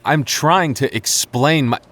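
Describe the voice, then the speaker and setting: A man's voice: fairly high pitch, takes it slowly, noisy background. A man playing a defensive, teasing young childhood friend with a secret crush, stammering and bickering in an intimate boyfriend roleplay. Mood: agitated, pleading. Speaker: ASMR roleplay voice actor.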